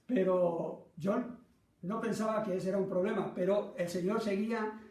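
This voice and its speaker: A man's voice: sits high, fairly even intonation, moderate pace, mellow. A middle-aged man with a Latin American Spanish accent preaching through personal stories in an earnest, self-deprecating manner. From Argentina, raised by North American parents. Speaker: pastor. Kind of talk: sermon with personal anecdote. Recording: roomy echo, distant microphone.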